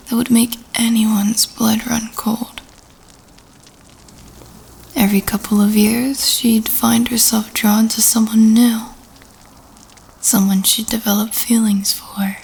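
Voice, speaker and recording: A woman playing a cute young lifeguard girl who tells a sinister campfire story in an audio roleplay, soft, whispery, measured and ominous. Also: on the high side, breathy edge, heavily acted, low-quality recording.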